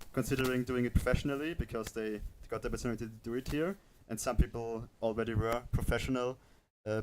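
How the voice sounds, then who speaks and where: A man hosting a casual panel livestream, talking in a halting, rambling way. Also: medium pitch, warm tone, slight background noise, low-quality recording. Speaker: livestream host.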